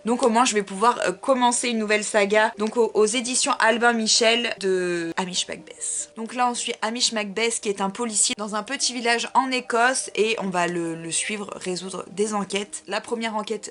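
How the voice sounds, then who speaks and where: A woman's voice: medium pitch, fairly even intonation, normal speed, hardly any pauses, fairly bright tone. A woman book vlogger talking casually and informally to her viewers, with chatty, self-deprecating asides. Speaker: book vlogger presenting a reading challenge.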